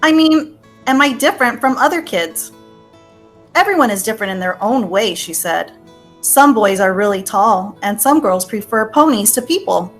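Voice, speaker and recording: Higher-voiced woman reading over guitar. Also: expressive, normal speed. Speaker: reader. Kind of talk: read-aloud of a children's picture book. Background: guitar music.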